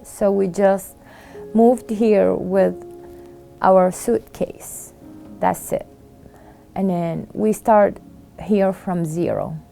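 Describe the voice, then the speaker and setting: A middle-aged woman with an Iraqi Arabic accent telling her own story in an interview, halting, with many fillers and pauses. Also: medium pitch, vocal fry, mellow, faint music behind. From Iraq. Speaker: refugee sharing her story. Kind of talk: interview testimony.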